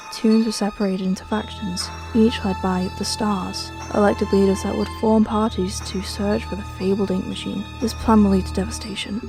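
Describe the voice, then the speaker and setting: A woman delivers scripted fantasy narration with a dark, mellow tone and a mid-range pitch. She tells the tale solemnly and with drama, as a trailer storyteller would. Music plays behind her voice.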